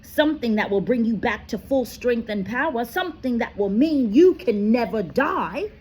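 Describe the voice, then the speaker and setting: Noble male centaur, grave and earnest, raised pitch, read by a woman. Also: expressive, moderate pace, a little mellow, slightly rough. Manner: solemn, earnest.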